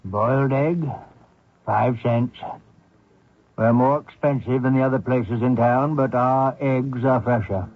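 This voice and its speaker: An actor playing a blunt, folksy small-town man who probes strangers, husky, in old-time radio drama style. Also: medium pitch, slow, phone-quality sound. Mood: chatty, blunt. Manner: folksy, probing, plain-spoken.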